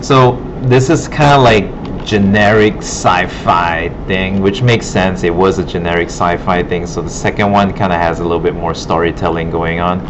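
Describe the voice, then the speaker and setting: Deep-voiced man teaching, muffled noisy recording. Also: steady tone, normal speed, few pauses. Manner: informal, thinking aloud while working. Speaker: art instructor. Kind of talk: casual classroom demo with running commentary. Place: classroom at an art academy. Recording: muffled, noisy broken classroom audio.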